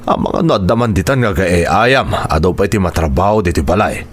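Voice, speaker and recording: A man's voice: low-pitched, animated, fairly quick. A man playing a stern, demanding uncle who talks in a bossy, scolding way in a radio drama. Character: stern, demanding uncle ordering children to do chores.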